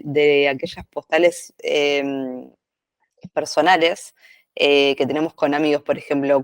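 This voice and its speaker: Higher-pitched Buenos Aires man, dry sound. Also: dry recording. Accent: Buenos Aires Argentine. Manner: long, winding questions. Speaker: interviewer, host. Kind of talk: informal live interview.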